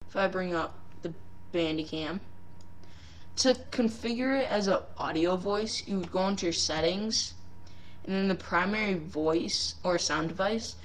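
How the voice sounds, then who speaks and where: A woman casually demonstrating software at home, unscripted and hesitant, thinking aloud as she goes. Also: on the low side, measured pace, rough recording. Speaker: software reviewer. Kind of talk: casual unscripted screen-recording demo. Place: living room at home. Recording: home mic with clear background noise.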